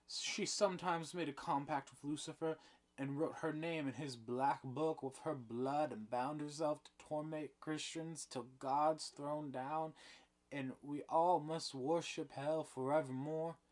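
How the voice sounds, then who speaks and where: A man's voice: mid-range voice, measured pace, close to an everyday voice. A man reading the part of a frightened young female servant with a trembling voice. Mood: shaken.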